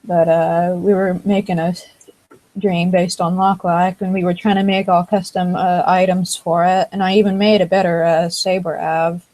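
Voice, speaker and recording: A woman's voice: fairly low pitch, little pitch movement, moderate pace, a little mellow. A woman chatting casually over a computer microphone, talking hesitantly and often searching for words. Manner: hesitant, many fillers and restarts. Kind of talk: casual chat. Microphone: computer microphone on a voice chat.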